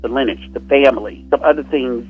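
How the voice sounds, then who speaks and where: A man's voice: mid-range voice, fairly quick, slightly rough, soft background music. Earnest young man on a muffled call. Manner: earnest, searching, often trails into quieter asides. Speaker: guest dancer. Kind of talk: reflective personal interview.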